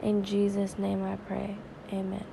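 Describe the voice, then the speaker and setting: Woman podcast preacher, stuffy and raspy from crying; gravelly, slow, earnest delivery. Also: mid-range voice, completely flat delivery, noisy background. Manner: earnest, conversational, slow and prayerful. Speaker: devotional podcast host. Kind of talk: informal devotional talk and prayer.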